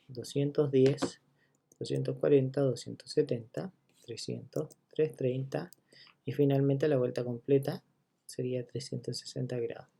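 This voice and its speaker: Male math teacher, gravelly, mellow tone, methodical step-by-step explainer. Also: medium pitch. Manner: walks through each step, checking in with listeners; counts items out loud one by one. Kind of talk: recorded geometry lesson.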